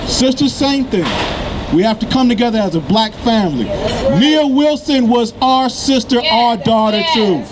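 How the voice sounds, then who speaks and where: A man's voice: very high-pitched, expressive, normal speed. A man earnestly exhorting a crowd outdoors to come together and protect one another. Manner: earnest, preaching unity. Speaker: rally speaker. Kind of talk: exhortation at a rally. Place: outdoor street. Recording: outdoor street with loud traffic.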